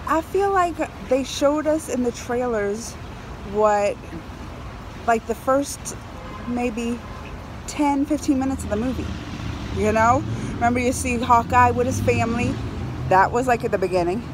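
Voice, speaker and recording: A woman in her thirties chatting casually to camera as a movie reviewer, emphatic and excitable, repeating phrases for effect. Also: a little high, unhurried, long pauses. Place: outdoors on a high balcony over a city. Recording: outdoor city noise, passing cars. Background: urban traffic ambience.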